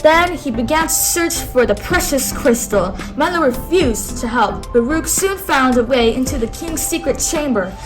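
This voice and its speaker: A woman reads a picture book aloud with a high voice. She has a teacherly manner and stops now and then to have the listener repeat key words. Soft music plays faintly behind her. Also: expressive, moderate pace, hardly any pauses, low-quality recording. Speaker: read-aloud host.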